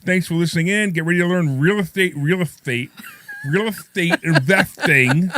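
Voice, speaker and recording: A man's voice: sits high, normal speed, fairly bright tone. A middle-aged male radio host with a New York accent and a slight lisp, chatting casually in a rambling, joking way. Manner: rambling, repetitive, self-deprecating joking. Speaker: radio talk show host. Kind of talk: casual, jokey talk radio chat and anecdotes.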